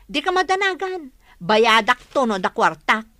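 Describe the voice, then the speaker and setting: A poor, gossiping woman in a radio drama, defensive and quick to complain. Her voice is bright and somewhat high, recorded close and dry. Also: big pitch swings, no echo, exaggerated voice.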